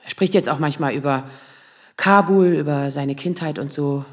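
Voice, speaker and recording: Actress as a nervous, lowish-voiced thirties woman. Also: natural voice. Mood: warm, rambling. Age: 30s. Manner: halting, rambling, self-correcting, nervous little laughs. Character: nervous, self-doubting 35-year-old asylum lawyer, single woman. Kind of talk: naturalistic radio drama acting.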